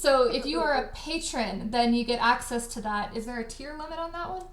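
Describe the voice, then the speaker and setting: Bright-pitched woman chatting in an echoey room. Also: fairly quick. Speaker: host, audio drama creator and dialogue editor. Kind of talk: casual livestream chat.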